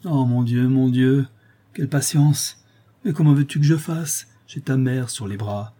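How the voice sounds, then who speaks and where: A man's voice: medium pitch, normal speed, dark tone, slightly rough, voice pushed up, lightly acted. A man voicing a weary, exasperated poor father in a storybook reading, pleading and scolding. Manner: pleading, scolding, raising his voice.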